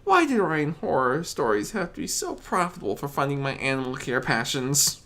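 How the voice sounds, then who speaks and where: A narrator voicing a timid, gentle female pony who mutters softly and anxiously in a storybook reading. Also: medium pitch, big pitch swings, brisk, voice pushed up. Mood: fretful worry. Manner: soft muttering, fretful.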